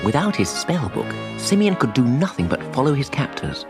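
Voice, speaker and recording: Expressive male storyteller with lively pitch. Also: moderate pace, creaky, music in the background. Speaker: story narrator. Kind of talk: scripted children's audiobook narration.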